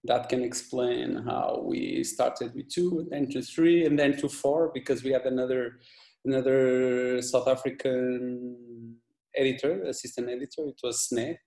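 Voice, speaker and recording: Portuguese guy talking slow, kinda dark mellow voice, stops and restarts a lot, roomy echo. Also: medium pitch, fairly even intonation, vocal fry. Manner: halting, many restarts and fillers. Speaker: video editor, guest. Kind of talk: casual interview chat.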